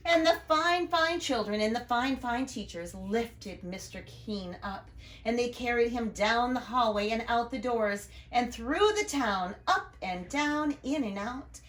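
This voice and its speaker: A woman teacher reading a children's storybook aloud with expressive, deliberate emphasis and chatty asides. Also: a little high, echoey room, rough recording.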